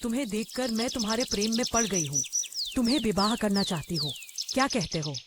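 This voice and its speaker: A woman's voice: on the high side, animated, a little fast, noisy background, close to an everyday voice. A woman voicing a scheming witch in a cartoon story, with a theatrical, declarative delivery. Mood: lovestruck, coaxing. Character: scheming female witch, posing as lovestruck young beauty. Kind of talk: Hindi cartoon story voice acting.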